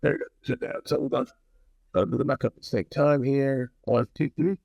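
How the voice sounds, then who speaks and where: A man's voice: medium pitch, animated, a little fast, creaky, a little mellow, no echo, lo-fi sound. A man talking casually to viewers in a rambling, blunt product review, often repeating phrases for emphasis. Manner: opinionated, blunt.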